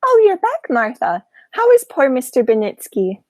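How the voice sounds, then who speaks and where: A young woman acting a sweet, fussy, chatty elderly aunt with a genteel manner in a high school radio play. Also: huge pitch swings, normal speed, a little mellow, no echo, clean studio sound, full character voice. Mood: cheerful, fussy. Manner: genteel, fluttery, coaxing.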